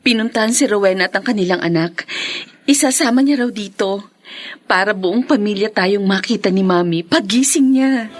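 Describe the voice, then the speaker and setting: A woman acting as a devoted, soft-hearted young daughter in a radio drama, earnest and full of feeling. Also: medium pitch, normal speed, lightly acted. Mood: relieved, hopeful. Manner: earnest, emotive.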